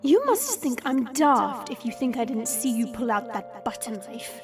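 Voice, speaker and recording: A woman's voice: a little high, sing-song, moderate pace, music in the background. A woman playing a sassy, teasing vampire with a sarcastic, playful drawl in an audio roleplay. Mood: sassy, mocking. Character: hidden female vampire, sassy, teasing, unafraid. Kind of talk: audio roleplay drama acting.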